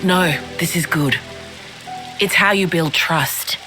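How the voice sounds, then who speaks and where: A woman voicing a calm, level-headed leader in a video game cutscene, composed and reassuring. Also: fairly low pitch, slow. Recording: music behind the voice.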